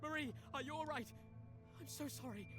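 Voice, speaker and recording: A woman's voice: normal speed, over music, lightly acted. A woman voicing a bitter, obsessive undead male painter in a visual novel, theatrical and anguished. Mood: anxious, apologetic. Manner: theatrical, anguished, swinging from low murmurs to shouts.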